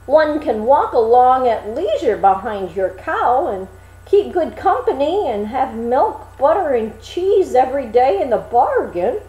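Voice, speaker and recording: Woman voicing an eager, high-pitched naive young man. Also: sing-song, a little slow, mellow, roomy sound, lo-fi sound. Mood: vexed, then wishful. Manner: exclaiming, eager, artless. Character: cheerful naive young man Hans, easily delighted. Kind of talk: storybook character reading.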